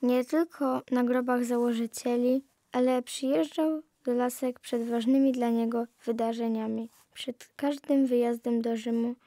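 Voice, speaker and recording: A woman's voice: a little high, warm tone, no room sound, studio quality. A young woman giving scripted, explanatory answers in an audio play. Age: young adult.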